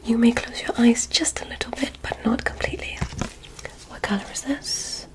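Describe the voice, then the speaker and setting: A woman voicing a curious, polite alien examiner in a whispered ASMR roleplay, speaking in a soft, deliberate, clinical manner. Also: fairly high pitch, lightly acted. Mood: curious, gentle.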